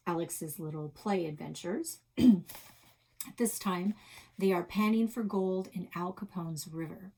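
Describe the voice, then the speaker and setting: A woman reading a children's novel aloud as a storytelling host, with expressive delivery. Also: lowish voice, breathy edge. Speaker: library read-aloud host.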